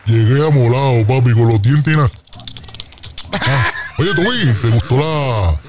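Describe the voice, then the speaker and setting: A man's voice: mid-range voice, a little slow, very noisy, lightly acted. A man with a Puerto Rican accent playing a boastful male caller nicknamed the shark, rambling gossip in slang on a comedy radio bit.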